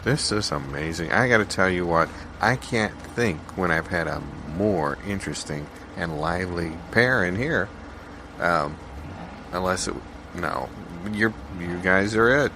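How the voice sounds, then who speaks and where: A man playing a rambling, good-natured studio host in improvised comedy audio, with a halting, folksy, apologetic manner. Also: sits low, lively intonation, noisy background. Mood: admiring, apologetic. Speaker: interview host.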